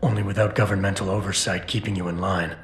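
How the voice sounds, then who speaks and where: A man's voice: deep voice, flat delivery, quick pace, lightly acted. An actor playing a stoic, determined male cyborg operative in a video game, with a clipped, controlled delivery. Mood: controlled, firm. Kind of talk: video game cutscene dialogue. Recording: roomy game cutscene with music. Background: background music.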